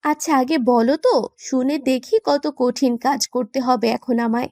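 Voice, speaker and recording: Woman acting a high-pitched, pouty young wife. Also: fairly even intonation, darkish tone, no echo, clean studio sound. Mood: teasing, challenging. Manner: pouting, bantering, quick to protest. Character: playful, pouty young wife, teasing and mock-scolding. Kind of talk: romantic audio story acting.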